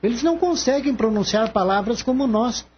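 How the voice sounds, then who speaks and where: Brief: a performer as polite young Brazilian male assistant in radio play; earnest, pleading, very expressive, slightly muffled recording. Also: medium pitch, a little fast, slight background noise, close to an everyday voice. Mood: earnest, insistent. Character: earnest, gentle young animal-loving male veterinary assistant. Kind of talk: Brazilian radio drama acting.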